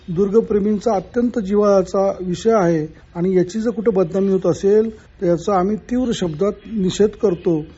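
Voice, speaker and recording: A man's voice: high voice, mellow, husky, lo-fi sound. A middle-aged or older man with a Maharashtrian accent speaking as a spokesman, making an earnest, emphatic public appeal. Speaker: mountaineering federation president, spokesman.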